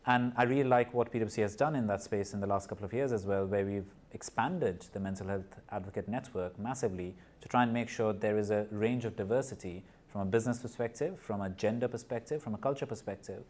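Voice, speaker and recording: A man's voice: on the low side, fast talker, few pauses, a little mellow. A man from Nepal and India, a guest in a podcast interview, speaking earnestly and often restarting and repeating words. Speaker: guest, corporate partner and mental health advocate.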